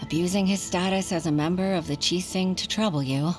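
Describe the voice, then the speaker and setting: Woman playing earnest junior official, lowish voice. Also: steady tone, fairly quick, lightly acted. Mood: frustrated. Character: earnest, frustrated young female junior official. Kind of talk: anime-style video game voice acting. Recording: soft music behind.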